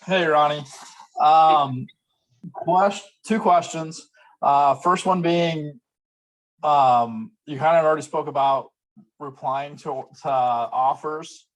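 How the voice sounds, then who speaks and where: A man speaking casually and a little haltingly as he asks questions in a group call. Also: on the high side, poor audio. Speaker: participant asking questions.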